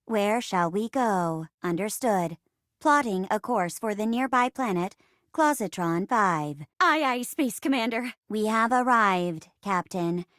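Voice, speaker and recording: Formal, measured robot navigator. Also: female voice, mid-range voice, animated, a bit bright, dry recording, clean studio sound. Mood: dutiful. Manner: clipped, formal, literal robotic delivery. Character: dutiful, earnest female robot assistant and starship navigator. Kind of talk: theatrical game voice acting.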